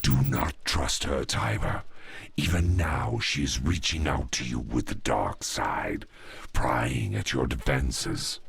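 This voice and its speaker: An actor playing a grim, wary, loyal henchman in a video game, blunt and ominous with a gravelly voice. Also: very deep voice, slow, a bit bright, exaggerated voice. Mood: distrustful warning. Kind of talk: scripted video game acting.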